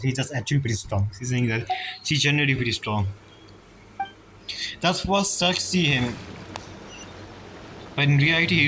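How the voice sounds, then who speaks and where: Guy doing casual lets play commentary, kinda rambly and halting, music going in the background. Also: mid-range voice, poor audio. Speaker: gamer reacting to a story quest. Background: game music.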